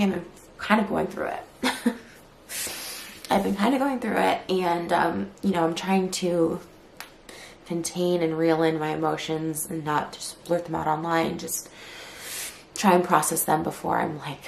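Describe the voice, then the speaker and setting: A woman in her early thirties records a vlog after very little sleep, hurt and upset, her speech full of fillers. Her voice has a gravelly fry under it. The sound bounces around an echoey room. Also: lowish voice, slight background noise. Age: 30s. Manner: tired, hurt and fast-talking, with many "like" fillers. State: short on sleep, near tears later. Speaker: YouTuber. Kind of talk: emotional response vlog.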